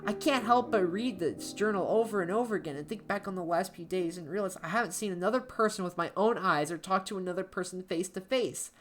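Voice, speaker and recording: A woman playing an anxious, isolated diarist in a horror story, reading in a halting, emotive way with music behind her. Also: mid-range voice, natural voice. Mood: shocked, unsettled. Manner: halting, emotive, with stammered repeats. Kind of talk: dramatic first-person horror story narration. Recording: background music under voice.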